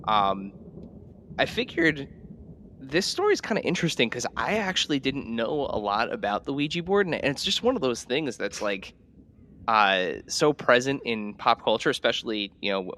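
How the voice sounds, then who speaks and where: A man's voice: medium pitch, big pitch swings, normal speed, pushed and bright. A man hosting a podcast in casual chat, talking in rambling, hesitant phrases with repeated words. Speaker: podcast host.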